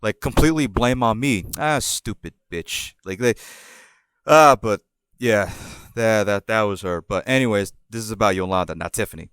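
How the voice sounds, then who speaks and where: A podcast host with a New York accent, telling a story in a rambling, profane, animated way. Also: male voice, fairly low pitch, moderate pace, clear, resonant tone, dry recording. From born in Puerto Rico, raised in the New York area. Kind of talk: casual storytelling.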